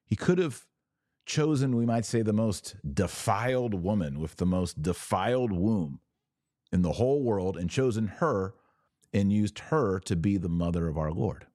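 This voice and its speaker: Male podcast host, low voice with lively swings, emphatic religious explainer, punches key words. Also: a little slow, no room sound, studio quality. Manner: emphatic, repeats key words for stress, rhetorical questions.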